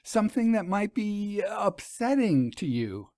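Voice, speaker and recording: A man's voice: high voice, sing-song, a little slow, dark tone, no room sound, clean studio sound, pitched above the performer's own voice. A man voicing an earnest, anxious woman in an audiobook story, hesitant and stammering. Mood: anxious, flustered.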